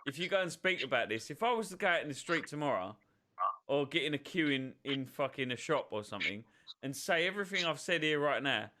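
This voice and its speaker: A man chats casually on a podcast, swearing freely and laughing often. His voice sits a little high and sounds bright and forward. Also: lively intonation, a little fast. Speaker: podcast co-host. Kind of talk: casual pub-style chat.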